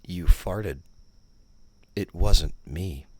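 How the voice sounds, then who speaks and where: A man narrating a scripted parody guided meditation, giving instructions in a deadpan, condescending manner. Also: low voice, drawn-out words.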